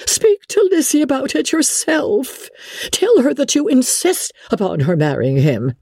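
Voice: high voice, sing-song, unhurried, a little mellow, husky, dry recording, clean studio sound, voice pushed up, full character voice. A reader voicing a flustered, fretful middle-aged mother in an agitated, emphatic way, as in an audiobook. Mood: frantic, agitated.

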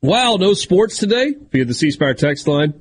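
A man's voice: sits high, big pitch swings, normal speed, breathy edge. A man hosting a sports radio show, chatty and self-deprecating, with a big voice. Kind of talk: casual sports radio talk.

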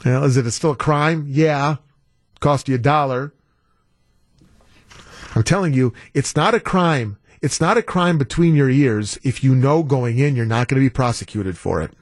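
A man's voice: mid-range voice, normal speed, dry recording, clean studio sound. An American man hosting a talk radio show with casual, playful and wry banter. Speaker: guest radio host.

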